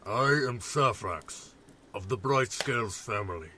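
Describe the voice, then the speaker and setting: A man playing a calm, courteous reptilian dragonborn warrior in a fantasy audio drama, with a rough voice and formal, measured speech. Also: fairly low pitch, crowd noise.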